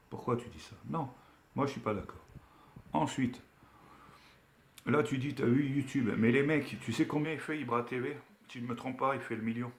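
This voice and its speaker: A man's voice: fairly low pitch, echoey room, a little hiss or hum. A man of Algerian origin talking casually in an emphatic, admonishing, colloquial way, as if setting someone straight in an online video. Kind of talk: casual opinion rant to camera.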